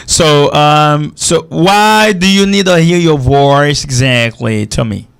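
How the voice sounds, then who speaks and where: Man, American-sounding accent, from Haiti, fairly high gravelly voice, call-in English lesson host, repetitive, tag questions. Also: slow, a bit bright. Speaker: English teacher hosting a call-in radio and TV show. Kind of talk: live call-in teaching talk.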